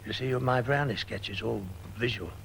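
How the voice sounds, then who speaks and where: A man's voice: medium pitch, normal speed, creaky, fairly bright tone, slight background noise. An older man reminiscing fondly in an interview about his stage work, often adding "you know". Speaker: pantomime performer interviewed. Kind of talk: reflective interview.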